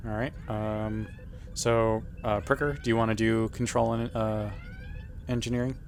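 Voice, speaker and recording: A man's voice: on the low side, level tone, normal speed. A man chatting casually and jokingly as he plays a tabletop roleplaying game. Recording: faint background noise.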